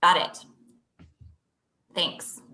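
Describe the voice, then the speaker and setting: A woman's voice: very slow, bright, forceful tone. A young woman playing a polite, patient thirty-year-old businesswoman in a comedy script read over a video call. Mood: restrained. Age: young adult. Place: indoor room at home, video call. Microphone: computer mic on video call.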